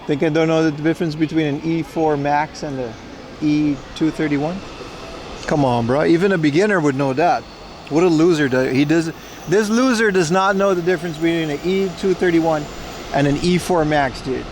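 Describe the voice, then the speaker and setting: A man with a somewhat high voice livestreams from beside a city railway platform. He answers his viewers casually and ribs them with playful mock insults. Faint trains and city traffic hum behind him. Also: moderate pace, darkish tone. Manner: teasing, mock-insulting banter.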